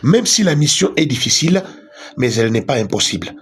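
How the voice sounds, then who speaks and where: A man reading a scripted news report in a formal, steady way. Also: medium pitch, brisk, over music.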